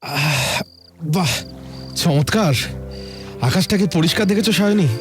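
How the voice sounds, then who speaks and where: An actor playing an enthusiastic, romantic, easily thrilled holiday guest in an effusive audio drama style. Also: male voice, high voice, expressive, unhurried. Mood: dreamy delight. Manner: effusive, savouring. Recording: music behind, chirping crickets.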